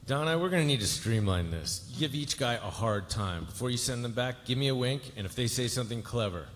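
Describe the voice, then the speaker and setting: A man acting a confident, sardonic high-powered lawyer in a live script read. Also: lively intonation, fairly quick, a bit bright, close to an everyday voice. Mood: brisk, smug. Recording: noisy hall with long reverb.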